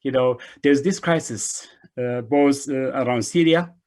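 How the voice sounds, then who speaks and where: A middle-aged man speaks as an invited presenter on a video call from a room indoors. His voice sits at a medium pitch, and he often repeats words and phrases to stress a point. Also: a little slow, darkish tone. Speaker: invited speaker, refugee organization director.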